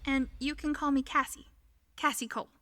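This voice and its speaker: A woman's voice: sits high, steady tone, moderate pace, bright, forceful tone, dry recording, studio quality. A woman playing an earnest, wry teenage girl in an audio drama. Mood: wry, apologetic.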